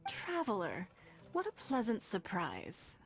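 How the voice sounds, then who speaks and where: A woman voicing a poised, wealthy, refined businesswoman in a video game, measured, elegant and wry. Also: medium pitch, huge pitch swings. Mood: gracious, teasing.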